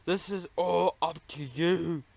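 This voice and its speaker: Slow, foreign-accented mouse doctor. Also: male voice, fairly high pitch, gravelly, darkish tone, no room sound, full character voice. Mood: solemn, firm. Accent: foreign-sounding. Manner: slow, deliberate, drawn-out phrasing. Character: psychiatrist mouse doctor, solemn, evasive, slow and deliberate.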